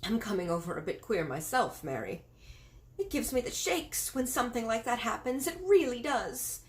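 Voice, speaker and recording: A dignified, self-controlled queen with a refined, exclaiming voice that sweeps up and down, as a woman reading a storybook puts her on. Even when shaken, she keeps her composure. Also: fairly high pitch, normal speed, pitched above the performer's own voice, natural voice. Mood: shocked. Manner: refined, exclaiming, later hushed.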